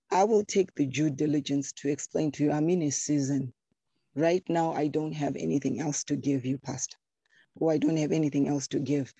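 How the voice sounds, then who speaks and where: A woman's voice: deep voice, mellow, slightly rough, no room sound. A woman, speaking as a church congregant in a panel discussion, earnest and repeating words as she thinks.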